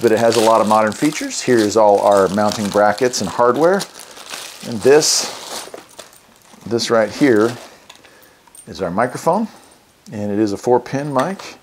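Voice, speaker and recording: A man's voice: lowish voice, a little hiss or hum. An American man hosting a casual product unboxing and review, talking in a folksy, enthusiastic way. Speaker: radio review host.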